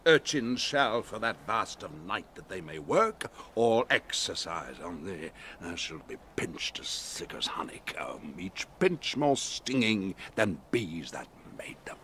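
A man plays a stern, commanding sorcerer in Shakespearean audio drama. The delivery is theatrical and forceful, with very animated pitch. A faint noise sits behind it. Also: takes it slowly, hardly any pauses, heavily acted. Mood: menacing. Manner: imperious, theatrical, swinging from hushed to thundering. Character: commanding, stern male sorcerer master and father.

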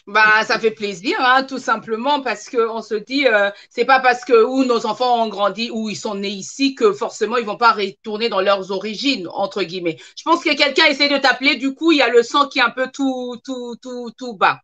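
High, bright-voiced woman hosting a live chat. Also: moderate pace, few pauses. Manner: chatty, encouraging, frequent filler questions. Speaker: host. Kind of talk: live online interview. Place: room at home, indoors.

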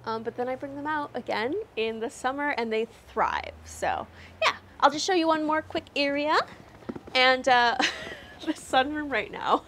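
A woman's voice: high voice, measured pace, a bit bright, a little hiss or hum. A young woman from Canada giving a chatty, upbeat walking tour of her snowy backyard garden, talking into a lapel mic outdoors and laughing often. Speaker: garden vlogger.

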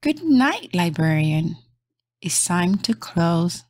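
A woman reading aloud to young children as a storybook host, in a gentle, encouraging, sing-song manner. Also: lowish voice, sing-song, slow, dry recording, clean studio sound.

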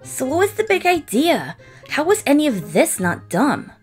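A woman's voice: sits high, huge pitch swings, normal speed, soft background music. A woman voicing a brash, sarcastic young alien woman with a blunt, mocking manner in a cartoon. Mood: challenging.

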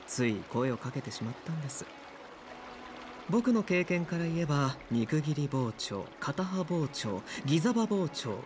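An actor playing a polite, gentle young male physician in a game dub, explaining things in a measured way. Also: fairly high pitch, noisy background.

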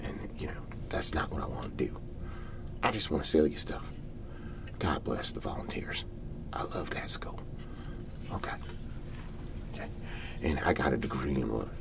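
A man's voice: a bass voice, normal speed, long pauses. Man putting on a whispering, folksy garage salesman. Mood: wistful. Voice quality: whispery, breathy. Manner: folksy, rambling, repetitive, hard-selling. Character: folksy, excitable male garage-sale salesman. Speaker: host playing a character. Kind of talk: ASMR sales pitch roleplay.

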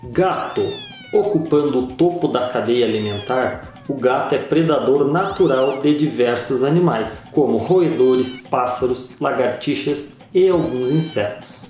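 A man reading a scripted educational narration in a slow, measured way, with pauses between phrases. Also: mid-range voice, darkish tone. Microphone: voice-over. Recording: very echoey voice-over with music.

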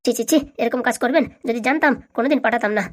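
A woman plays a vengeful village uncle in a cartoon comedy. The words tumble out very fast, scolding, indignant and ready with threats. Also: fairly high pitch, lightly acted. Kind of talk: comic cartoon dialogue.

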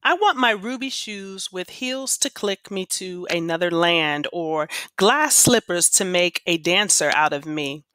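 Bright-voiced woman reading aloud like a teacher. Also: lowish voice, expressive, a little slow, few pauses, dry recording. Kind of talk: expressive read-aloud of children's poems and class instructions.

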